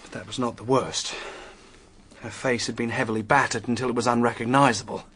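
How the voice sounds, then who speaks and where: An actor playing an anxious, earnest young man caught up in a murder in a radio drama, with an agitated, halting delivery. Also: medium pitch, fairly even intonation, fairly bright tone, a little hiss or hum, lightly acted. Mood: haunted, tense. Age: young adult.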